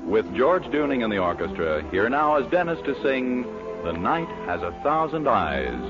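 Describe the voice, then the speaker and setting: A man's voice: medium pitch, big pitch swings, moderate pace, over music. A man announcing scripted commercials in an emphatic, persuasive manner, heard on a lo-fi 1940s radio broadcast.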